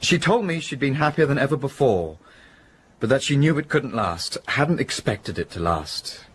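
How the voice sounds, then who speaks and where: An actor playing a contrite, upper-class businessman in a period radio drama, speaking with polite, halting restraint. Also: mid-range voice, big pitch swings, a bit bright, slight background noise. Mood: remorseful.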